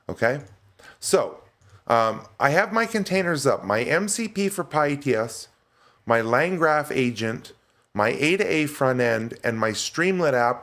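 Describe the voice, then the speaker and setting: A man with a mid-pitched voice gives a casual screen-share demonstration of software. He talks informally, thinking aloud as he goes from step to step. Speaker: software demo presenter.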